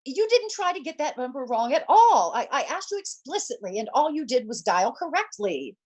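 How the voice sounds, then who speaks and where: Woman acting a shrill, stammering, imperious wife. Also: big pitch swings, moderate pace. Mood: exasperated. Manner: stammering, breathless repetitions, indignant. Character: agitated, imperious married woman, demanding and panicky on telephone. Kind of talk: old-time radio thriller acting.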